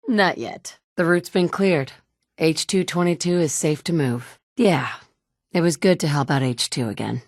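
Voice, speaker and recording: A hardened wasteland survivor woman speaks in short, firm lines with a lowish voice. The sound is close and dry, with no room around it. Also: dry recording. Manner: terse and firm, often curt. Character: tough adult woman wasteland survivor, blunt leader. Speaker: player character. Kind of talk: video game dialogue lines. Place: studio booth.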